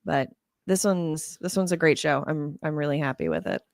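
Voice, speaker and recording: Lively woman, low-pitched, chatting casually. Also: normal speed, vocal fry, dry recording, studio quality. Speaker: theatre director. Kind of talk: casual panel chat.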